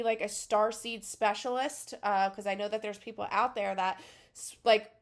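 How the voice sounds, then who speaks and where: A woman in her thirties with a Philadelphia accent, talking casually to camera as a spiritual channel, rambling with many fillers. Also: a little high.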